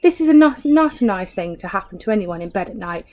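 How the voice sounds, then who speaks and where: A woman's voice: mid-range voice, expressive, quick pace, a little mellow, lightly acted. A woman voicing a spiteful, dramatic old wife in a storybook, exclaiming and gloating. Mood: panicked shrieking. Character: spiteful, dramatic old wife who plays nasty tricks.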